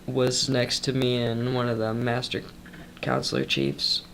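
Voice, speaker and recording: A young man chats through a rambling vlog update with a stuffed-up nose from a cold. He sounds worn out after a long shift, and his mid-range voice slips into gravelly fry. He talks loosely, with plenty of ums and side comments. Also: mid-range voice, a little hiss or hum. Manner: loose and chatty, full of ums and trailing asides. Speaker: vlogger.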